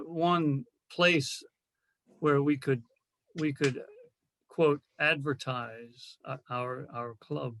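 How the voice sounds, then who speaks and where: Slow, halting man in a meeting. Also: mid-range voice, a bit bright, dry recording, poor audio. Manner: halting, repeats words, tentative. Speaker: club member in a meeting. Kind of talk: informal meeting discussion. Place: online video meeting. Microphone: video call.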